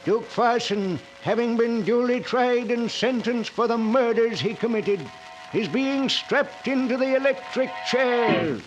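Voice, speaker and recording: A man's voice: very high voice, unhurried, music in the background. A man narrating a 1940s radio drama in a formal, solemn storytelling manner. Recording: dull lo-fi old radio recording.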